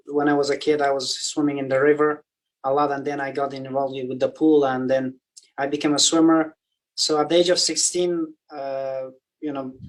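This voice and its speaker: A man's voice: fairly high pitch, distant mic, rough recording. A young man from Afghanistan telling his story in a loose, casual way, full of "you know" fillers. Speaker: athlete guest. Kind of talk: casual interview answers.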